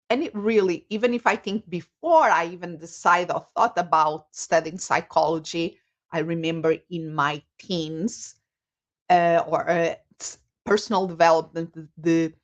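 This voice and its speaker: Woman guest from Portugal; low, unhurried, thoughtful, on a muffled call. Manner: reflective, many pauses and fillers. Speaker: guest, psychologist and coach. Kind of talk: interview answers.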